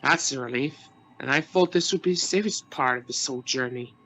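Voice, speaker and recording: A man's voice: medium pitch, a little fast, bright, forceful tone, faint music behind, poor audio. An actor playing a bossy, nervous and exasperated expedition crewman in a sci-fi radio play. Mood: anxious.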